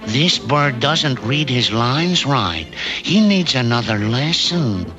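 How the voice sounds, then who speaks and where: A man's voice: mid-range voice, sing-song, slow pace, rough recording. An actor voicing a bossy, scheming male crook in a 1960s TV cartoon, barking impatient orders. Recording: music bed under dialogue.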